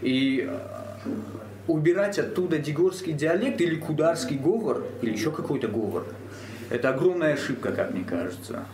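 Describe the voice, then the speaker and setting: A man, a theatre actor, speaking earnestly and deliberately in a panel discussion, pausing with hesitations. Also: fairly high pitch, expressive. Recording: echoey room, faint background hum.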